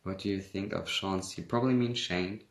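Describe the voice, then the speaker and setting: A man's voice: low-pitched, moderate pace. A young man from Hamburg with a German accent, chatting casually on a livestream, reading viewer comments and laughing often. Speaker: livestream host.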